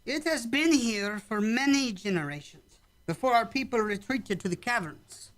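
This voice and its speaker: A performer playing a calm, dignified villager of an alien cavern people in a radio drama, speaking with formal, measured phrasing. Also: mid-range voice, close to an everyday voice. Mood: solemn, welcoming.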